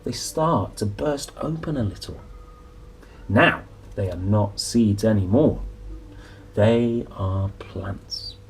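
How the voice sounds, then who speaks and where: A young man reads a children's story slowly, his pitch rising and falling widely. He pauses for drama and adds little asides of wonder. Faint room noise sits behind his voice. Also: poor audio. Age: young adult. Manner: animated, dramatic pauses, soft exclamations. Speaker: storybook reader and presenter. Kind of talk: expressive children's picture-book reading with asides. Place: small indoor room.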